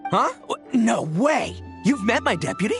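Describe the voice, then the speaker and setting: A swaggering, good-natured gang boss in an anime game. His voice is bright and forceful, swooping in pitch with bombastic, slangy bravado. Faint music sits behind him. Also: very animated, normal speed, full character voice. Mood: indignant. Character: brash, boastful young male oni gang boss, goofy and good-natured. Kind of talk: anime video game voice acting.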